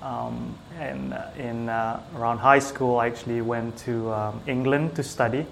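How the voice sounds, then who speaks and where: A man from Singapore chatting casually as an interview guest, with hesitant, halting phrasing. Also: medium pitch, steady tone, creaky, fairly bright tone, slight background noise. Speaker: small business owner, IT technician, interview guest.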